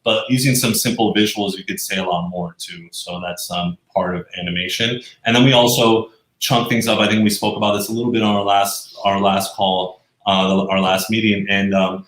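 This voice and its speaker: A man answering interview questions in a casual explanatory way, agreeable, with a hesitant, halting delivery. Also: fairly low pitch, fairly even intonation, a bit bright. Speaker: interview guest, animated explainer video professional. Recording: echoey, roomy sound.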